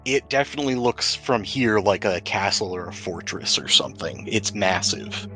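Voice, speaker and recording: Low-voiced man narrating a tabletop game. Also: expressive, moderate pace, sharp tone, poor audio. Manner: descriptive, improvised scene-setting. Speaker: game master. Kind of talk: narrating a tabletop role-playing game, conversational. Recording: music playing behind the voice.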